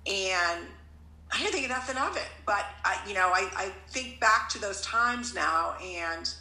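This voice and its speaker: A woman's voice: medium pitch, animated, measured pace, bright, forceful tone, echoey room, poor audio. A woman in her fifties from Connecticut, talking earnestly in a casual conversation, rambling and often restarting her sentences. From Connecticut, USA.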